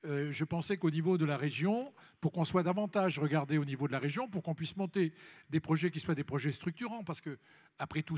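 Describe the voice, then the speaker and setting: A man's voice: on the high side, darkish tone. A man speaking off the cuff as an elected official on a congress panel, emphatic and repeating phrases for stress. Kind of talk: impromptu panel discussion at a congress. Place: large congress hall plenary session. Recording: reverberant large hall, amplified speech.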